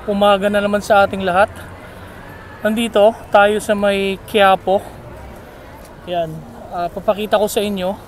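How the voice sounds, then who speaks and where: A Filipino man talking casually while walking a crowded outdoor street market, rambling with many fillers, filmed on a phone held at arm's length. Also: sits high. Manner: chatty, rambling with frequent fillers. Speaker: vlogger. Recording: outdoor phone video, loud crowd noise.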